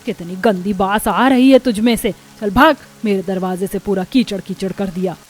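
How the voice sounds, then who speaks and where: A woman's voice: medium pitch, moderate pace, close to an everyday voice. A woman voicing a rude, haughty rich lady of a mansion in a cartoon story, snapping with disgust. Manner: scornful, snapping. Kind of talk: Hindi cartoon story acting.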